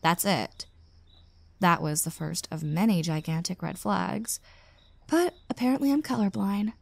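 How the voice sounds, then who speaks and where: A woman's voice: lowish voice, measured pace, vocal fry, darkish tone, no room sound. A woman playing an exasperated single young woman venting about a bad date in an intimate audio roleplay, with sarcastic asides. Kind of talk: roleplay audio drama, intimate conversational monologue.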